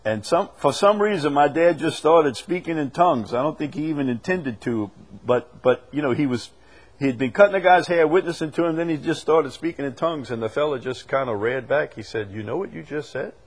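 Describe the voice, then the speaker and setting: Preacher with a swinging, emphatic delivery. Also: male voice, on the high side, a little fast. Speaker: preacher leading a Bible study. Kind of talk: teaching with questions from the congregation.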